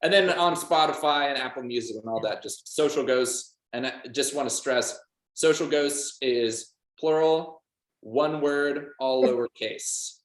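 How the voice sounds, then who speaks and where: A young man with an average-pitched voice speaks as a casual interview guest. His delivery is informal and jokey, and the sound carries a distinctly roomy echo. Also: measured pace. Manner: informal, joking. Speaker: band member guest. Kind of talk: casual chat.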